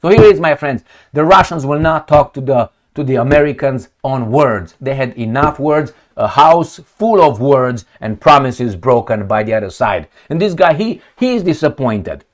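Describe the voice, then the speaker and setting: Middle-aged male, average pitch. Solo commentator, emphatic and loose, wandering into asides. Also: animated, moderate pace, few pauses. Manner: rambling, emphatic, with trailing asides. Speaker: video commentator. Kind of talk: off-the-cuff political commentary to camera. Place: small home office, indoors.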